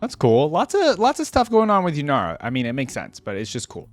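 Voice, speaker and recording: A young man giving casual, slangy reaction commentary in brief, low-key remarks as a video host. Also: wildly swooping pitch, fairly quick, dry recording.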